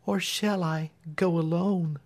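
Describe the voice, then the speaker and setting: A man voicing a gentle young woman who speaks softly but firmly. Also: sits high, steady tone, slow pace, pitched above the performer's own voice. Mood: resolute. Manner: soft, quiet, firm.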